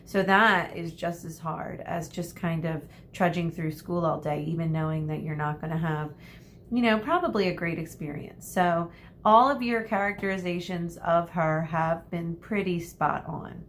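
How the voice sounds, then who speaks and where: Female teacher, lowish voice, encouraging and chatty, speaking directly to children for a read-aloud; noisy home recording. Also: moderate pace. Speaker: primary school teacher. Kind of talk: chatty address to pupils and storybook read-aloud.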